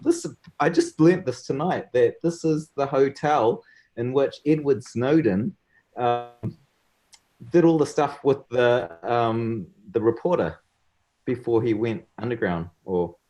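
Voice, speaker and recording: A man whose first language is Cantonese, chatting as a guest on a video call in a relaxed way, laughing easily and using frequent ums. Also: mid-range voice. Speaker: guest analyst. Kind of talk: casual video call chat.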